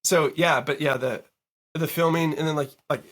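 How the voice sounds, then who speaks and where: A man who is a guest producer, chatting casually on a podcast, slightly hoarse, animated and swearing as he tells stories. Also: a little high, moderate pace. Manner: animated, swearing, mock-quoting people.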